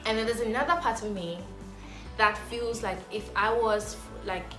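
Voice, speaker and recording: A woman's voice: fairly high pitch, fairly even intonation, moderate pace. A young woman from Zambia chatting to camera as a vlogger, rambling casually with lots of fillers and restarts. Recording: echoey room with music behind. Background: clear background music.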